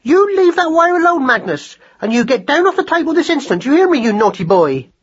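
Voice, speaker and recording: A man voicing a sharp-tempered but doting mother mouse with rustic, ungrammatical speech. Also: very animated, moderate pace, lo-fi sound, full character voice. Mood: indignant, scolding. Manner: rustic, ungrammatical, shrill scolding.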